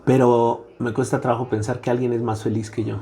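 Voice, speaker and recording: A man's voice: mid-range voice, flat delivery, brisk, mellow. A middle-aged Mexican man with a Mexican accent, speaking as a guest academic in a conversational interview, reflective, with frequent "eh" fillers and a habitual "¿no?".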